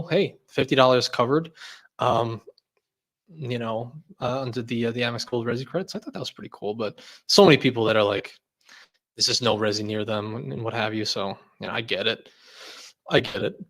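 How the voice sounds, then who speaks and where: Young male streamer, mid-pitched, loose and rambling, reads chat aloud. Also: brisk. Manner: rambling, many fillers and restarts, frequent laughs. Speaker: host of a credit card livestream. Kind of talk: casual livestream chat reading comments.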